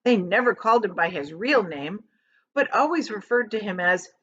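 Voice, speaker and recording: A woman reading a children's storybook aloud as a teacher would, with dramatic emphasis and drawn-out key words. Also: mid-range voice, animated, a bit bright, dull audio, slight background noise.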